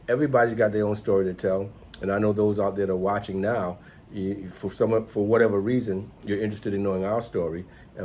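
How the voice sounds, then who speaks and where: A middle-aged American man hosts a casual vlog in a deep voice. He talks in a rambling, reflective way. There is slight noise behind him. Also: a little mellow. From United States, New York City. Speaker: host, entrepreneur and musician. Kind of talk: casual conversational vlog chat.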